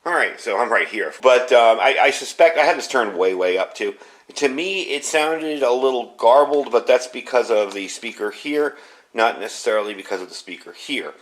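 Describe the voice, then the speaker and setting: A man with an average-pitched voice walks through gear in a casual review. He explains informally and rambles a little, talking indoors at home. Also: expressive, normal speed. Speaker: product reviewer.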